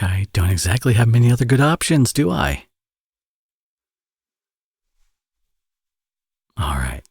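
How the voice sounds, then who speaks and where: Man acting a deep, husky flirtatious villain. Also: dry recording, studio quality, exaggerated voice. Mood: teasing, exasperated. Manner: teasing, sardonic, drawling with drawn-out phrases. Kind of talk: ASMR romance audio roleplay, intimate acting.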